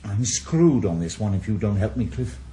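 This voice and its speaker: A man's voice: lowish voice, big pitch swings, moderate pace, mellow, slight background noise. An actor playing a weary, sardonic retired male ex-detective in a radio drama. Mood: tense. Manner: dry, sardonic. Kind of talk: 1980s radio drama acting.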